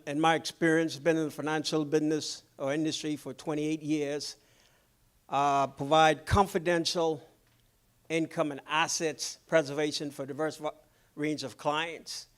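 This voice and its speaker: A middle-aged man from the Bahamas with a Bahamian accent, presenting a financial seminar in a measured, businesslike way and checking in with his audience. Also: on the high side, fairly even intonation.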